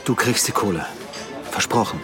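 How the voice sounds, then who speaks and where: An actor playing a blunt, defensive middle-aged police detective in a radio drama. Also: male voice, lowish voice, normal speed, natural voice. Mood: defensive, uneasy. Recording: music clearly behind the dialogue.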